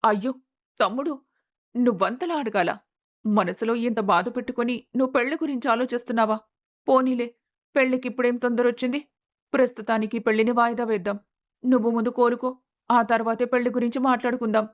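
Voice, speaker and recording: A woman's voice: a little high, steady tone, brisk, lightly acted. A woman playing a scheming older sister who puts on exaggerated sympathy in a family story melodrama. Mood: falsely soothing.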